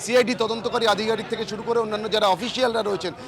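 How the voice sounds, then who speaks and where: Fast high-voiced man reporting amid crowd. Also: steady tone, gravelly. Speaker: field news reporter. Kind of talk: live on-location reporting and interviewing. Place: outdoors outside a city court. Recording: outdoor street noise, busy crowd.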